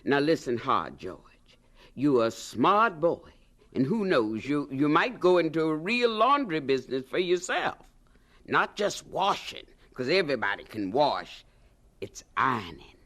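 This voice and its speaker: An actress playing a bossy, proud older aunt from the American South, folksy and scolding, in a radio drama. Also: female voice, sits low, big pitch swings, a little slow, fairly bright tone, full character voice. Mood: brisk, instructive.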